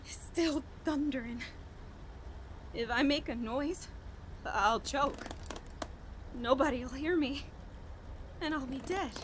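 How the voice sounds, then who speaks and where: An actress playing a frantic, haunted woman on the edge of madness in a horror radio play, halting and overwrought. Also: high voice, slight background noise. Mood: grim, hushed. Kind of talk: 1940s radio horror acting, dramatic monologue.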